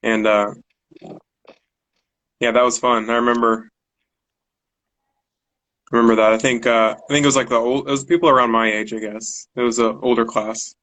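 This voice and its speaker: Male guest from the US, easygoing, rambling, average pitch. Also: normal speed, long pauses, low-quality recording. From United States. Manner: relaxed, rambling, filler-heavy. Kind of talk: casual online conversation. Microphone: video call.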